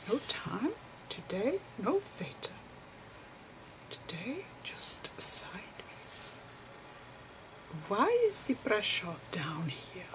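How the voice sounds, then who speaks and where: A woman voicing a gentle, eccentric old male physicist, lecturing haltingly in a murmured ASMR role-play. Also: medium pitch, huge pitch swings, exaggerated voice, rough recording. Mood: patient, explaining. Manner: halting, whispery, murmured explanations with quaint formal phrasing.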